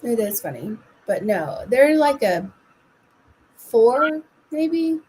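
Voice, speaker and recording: A woman's voice: on the high side, big pitch swings, slow, a little mellow, slight background noise, poor audio. A woman co-hosting a sports talk radio show, giving dry, understated replies. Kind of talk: casual radio banter.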